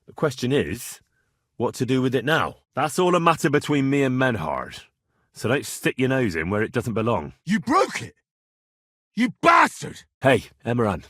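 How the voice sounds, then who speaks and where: Game role: cheeky young swordsman in a medieval town. Husky, very animated, blunt bargainer. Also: mid-range voice, normal speed, no echo, clean studio sound. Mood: matter-of-fact, then indignant, defiant. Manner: blunt, haggling, cocky. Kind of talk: video game character dialogue.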